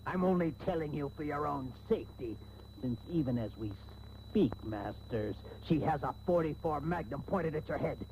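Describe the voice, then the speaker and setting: An actor playing a smarmy, genteel sea captain with affected politeness and villainous intent, in a comic radio serial on old tape. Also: male voice, fairly high pitch, animated, moderate pace. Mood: calmly menacing. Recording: thin, dull old radio tape, faint hiss.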